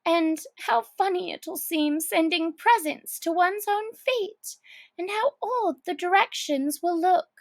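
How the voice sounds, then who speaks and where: A woman's voice: very high voice, slow, pitched above the performer's own voice, heavily acted. A woman voicing a dreamy, fretful little girl who exclaims and muses theatrically to herself in a storybook reading. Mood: musing.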